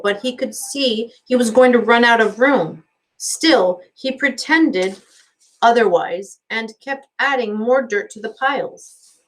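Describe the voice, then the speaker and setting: A woman's voice: a little high, poor audio. Woman reading a story aloud expressively. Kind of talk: scripted storybook narration, expressive.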